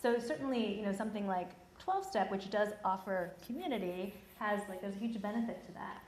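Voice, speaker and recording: A woman mental health professional calmly explaining on a panel. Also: medium pitch, brisk. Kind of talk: panel discussion. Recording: echoey room with faint background noise.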